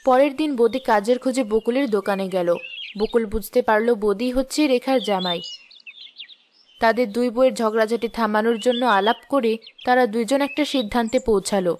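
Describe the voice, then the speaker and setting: A woman's voice: on the high side, a little fast, a little mellow, a little hiss or hum. A woman giving scripted narration for a cartoon fairy tale. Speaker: story narrator.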